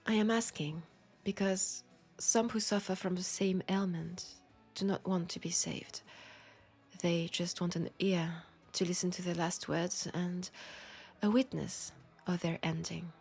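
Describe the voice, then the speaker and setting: Slow, low French-accented vampire woman, acted out by a woman. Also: steady tone, vocal fry, husky, soft background music, full character voice. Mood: gently probing. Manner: slow, deliberate, soft-spoken, slipping into whispers. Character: ancient, lonely, seductive female vampire, tender and grave. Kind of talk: intimate scripted audio roleplay.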